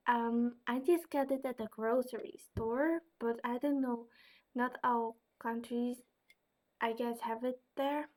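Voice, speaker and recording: A young woman from the Netherlands with a Dutch accent, answering viewer questions in a casual vlog, hesitant and full of fillers. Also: on the high side. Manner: hesitant, many fillers and soft asides.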